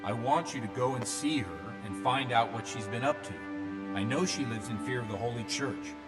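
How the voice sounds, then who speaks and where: A man's voice: mid-range voice, normal speed, lightly acted. Man acting earnest priest in long reverb. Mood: authoritative. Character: earnest, fretful, paternal village priest. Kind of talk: dramatic video game acting. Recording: long hall-like reverb under music.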